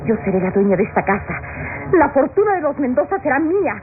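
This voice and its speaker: A high-pitched, menacing young villainess in a classic radio melodrama. She gloats and mocks with cold, murderous madness. The sound is thin and tinny, with loud music underneath. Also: sing-song, fast. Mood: gloating, menacing. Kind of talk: classic Latin American radionovela acting.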